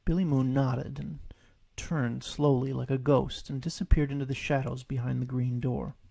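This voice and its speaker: A man narrating his own written story in a scripted storybook style, with a gravelly voice. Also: medium pitch, flat delivery, moderate pace, dark tone, dry recording.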